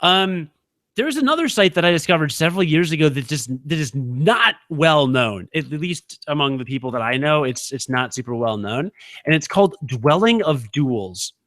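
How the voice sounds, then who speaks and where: A man's voice: on the high side, animated, moderate pace, fairly bright tone, no room sound. A man co-hosting a comedic podcast with casual banter, sounding stoned, rambling and slangy. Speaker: podcast co-host.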